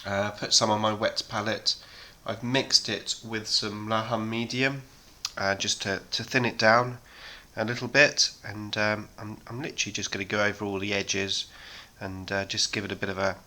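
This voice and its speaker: A man in his thirties hosting a miniature painting chat, talking casually with many ums and a slightly hoarse voice from a sore throat. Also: lowish voice, moderate pace. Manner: relaxed, rambling, many ums. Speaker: hobby painting channel host.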